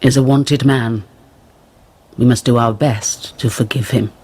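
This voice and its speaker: A woman acting a dignified, sorrowful middle-aged mother in a radio drama, grave, resigned and resolute, with a husky voice. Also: rumbling low, fairly even intonation, measured pace, a little mellow, exaggerated voice. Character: dignified, sorrowful mother, an abandoned nobleman's wife.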